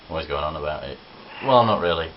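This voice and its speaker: A young man with a Midlands accent from Nottinghamshire, talking in a very low voice. He rambles through a casual vlog with self-deprecating asides. The audio is dull and lo-fi, with a faint hiss underneath. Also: lively intonation, fast. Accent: English Midlands (Nottinghamshire). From Nottinghamshire, England. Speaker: vlogger. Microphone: camera on tripod.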